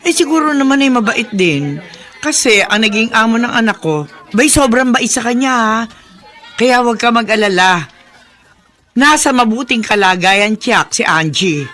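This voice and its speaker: A chatty, teasing old neighbor. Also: female voice, on the high side, expressive, normal speed, fairly bright tone. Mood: gossipy. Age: older. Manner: gossipy, teasing. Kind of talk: radio drama acting.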